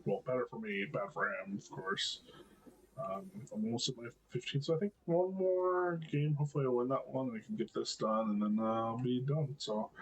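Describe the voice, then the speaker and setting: A man with a deep voice giving casual gameplay commentary, thinking aloud with lots of hesitant ums and restarts. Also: lo-fi sound, a little hiss or hum. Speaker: gameplay video creator.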